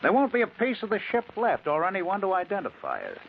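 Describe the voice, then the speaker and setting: An American actor playing a cold-blooded, persuasive gang leader in an old-time radio drama, emphatic and assured. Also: male voice, high voice, huge pitch swings, fast talker, exaggerated voice. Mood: persuasive, brisk. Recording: muffled old radio recording with heavy noise.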